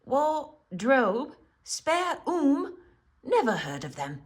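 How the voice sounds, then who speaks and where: Woman as a high-voiced, emotional gentle male faun. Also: huge pitch swings, very slow, exaggerated voice. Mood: curious, welcoming.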